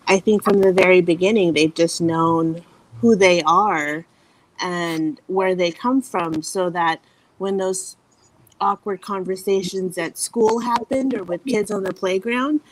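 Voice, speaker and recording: A woman's voice: lowish voice, low-quality recording. A woman who grew up in the Philippines and speaks Tagalog as her first language, talking earnestly and reflectively as a guest parent over a video call, with many "you know" fillers. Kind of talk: casual panel conversation. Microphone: video call from a hotel room.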